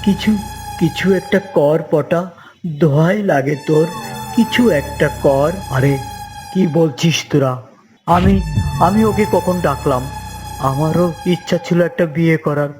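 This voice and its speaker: A man voicing a whiny, bickering young friend in a children's fairytale cartoon, in an exaggerated, drawn-out way. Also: a little high. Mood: frightened, pleading. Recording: loud music behind the voice.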